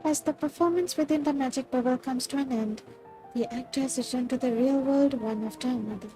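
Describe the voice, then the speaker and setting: A woman streamer with a high voice reads game text aloud. Faint music plays behind the voice. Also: fairly quick, warm tone.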